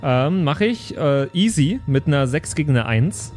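A man's voice: a little high, animated, normal speed, a little mellow. A man chatting casually as a player in an improvised tabletop role-playing game. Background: music under the voice.

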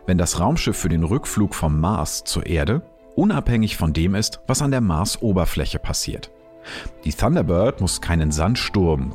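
A man narrating a science documentary podcast in a measured, explanatory reading. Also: low-pitched, darkish tone.

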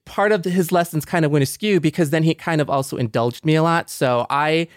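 A man's voice: on the high side, brisk, no room sound, studio quality. A man co-hosting a podcast in a personal, conversational way, reflective and given to storytelling. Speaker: podcast co-host.

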